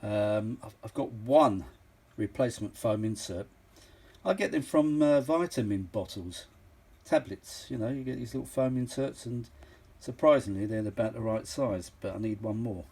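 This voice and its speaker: A man's voice: on the low side, moderate pace, a little mellow, breathy edge. A middle-aged or older man, chatting unscripted as a vintage audio enthusiast showing a machine, rambling, exclaiming and repeating himself for emphasis. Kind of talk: casual unscripted show-and-tell chat.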